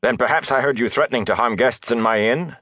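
A man voicing a commanding village mayor and innkeeper in an audiobook, with measured, stern reproach. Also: mid-range voice, fairly bright tone, no room sound, pitched above the performer's own voice. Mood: calm menace.

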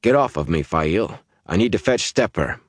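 A stubborn young village man in a fantasy storybook, read by a male narrator, battered and gruff. His voice moves with lively pitch in a dry, close recording. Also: normal speed, dry recording. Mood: strained.

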